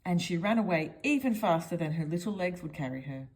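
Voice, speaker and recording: A woman's voice: lowish voice, animated, brisk, darkish tone. A woman reading a children's picture book aloud, expressively, as if speaking directly to young listeners. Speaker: storyteller.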